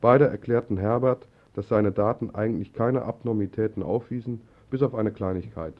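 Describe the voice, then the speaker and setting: Low-voiced man narrating, dull lo-fi sound. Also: moderate pace. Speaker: radio narrator. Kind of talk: scripted satirical story narration. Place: radio broadcast recording. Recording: dull lo-fi 1980s radio recording.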